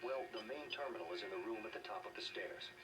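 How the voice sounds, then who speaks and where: A man playing an earnest, weary middle-aged scientist in a video game, measured and matter-of-fact. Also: lowish voice, recorded from a distance, over loud music, lightly acted. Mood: earnest, concerned. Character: earnest male scientist, weary leader of a research team.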